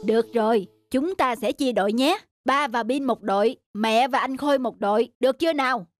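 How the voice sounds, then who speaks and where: A man's voice: very high voice, a little fast. Patient cartoon father, music behind. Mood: encouraging. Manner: patient, explaining, coaxing. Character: patient, gentle father of two young boys. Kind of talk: animated family drama acting. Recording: music under the voice.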